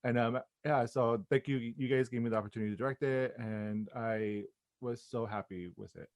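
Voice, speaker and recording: A man's voice: mid-range voice, steady tone, moderate pace, a little mellow, no echo, clean studio sound. A man chatting casually and effusively in an interview as a playwright and director, with informal slangy phrasing.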